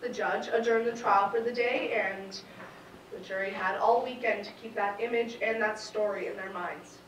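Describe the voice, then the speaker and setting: A teenage female student playing a composed, seasoned woman prosecutor in a measured, formal stage monologue. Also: mid-range voice, steady tone, dull audio, lightly acted. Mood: composed, grave. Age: teens. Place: theatre stage before an audience. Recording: echoey theatre, distant camera, background noise.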